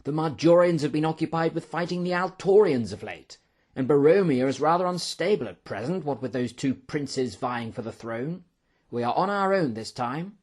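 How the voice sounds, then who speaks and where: A man voicing a courteous army general in a storybook, grave and formal in a measured manner. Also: a little high, expressive, a little mellow, pitched above the performer's own voice.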